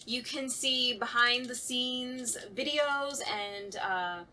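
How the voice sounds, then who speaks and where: A woman's voice: a little high, steady tone, takes it slowly, bright, forceful tone, a little hiss or hum. A woman hosting a podcast, talking casually and addressing the listeners directly. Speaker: podcast host.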